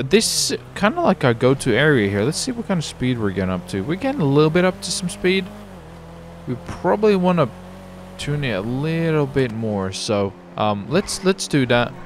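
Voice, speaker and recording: A man commentating his roleplay gameplay, excitable and hyped, his voice jumping when something surprises him. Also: mid-range voice, a little hiss or hum. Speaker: gameplay streamer.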